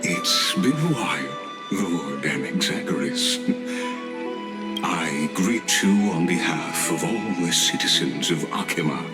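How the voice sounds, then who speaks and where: A slow, very deep-voiced courtly envoy. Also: male voice, expressive, over loud music. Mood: courtly, measured. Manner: ceremonious, unctuously polite, deliberate. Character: courtly, inscrutable otherworldly male envoy of the elders. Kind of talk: dramatic fantasy video game acting.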